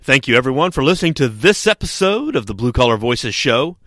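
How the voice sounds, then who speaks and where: A man's voice: mid-range voice, sing-song, fairly quick, fairly bright tone, slight background noise. A man hosting a podcast interview, folksy and fond of telling jokes. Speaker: podcast host.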